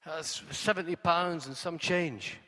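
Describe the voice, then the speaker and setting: A Scottish man playing a brash, foul-mouthed taxi driver who talks high and loud at his passenger. The voice is bright, with a long reverb. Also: unhurried. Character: coarse pushy male cabbie, talking loud.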